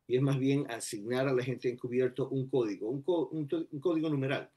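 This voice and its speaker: A man in his sixties from Ecuador, a retired federal agent speaking as a guest over a video call, measured and full of pauses. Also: mid-range voice, warm tone, breathy edge. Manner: measured, many pauses and "eh" fillers. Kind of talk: remote video interview. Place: indoors before a plain backdrop.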